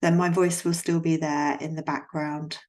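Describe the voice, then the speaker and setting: A woman guiding a yoga nidra relaxation in a soothing, gently instructing manner, leaving long pauses between phrases. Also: deep voice, little pitch movement. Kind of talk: guided relaxation meditation.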